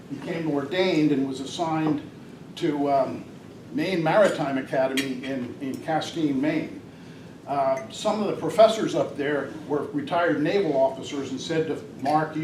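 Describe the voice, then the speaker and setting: An older man giving a rambling, hesitant talk at a club meeting, with many fillers. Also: a little high. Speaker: guest speaker at a Rotary club. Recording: distant mic in an echoey room, noisy.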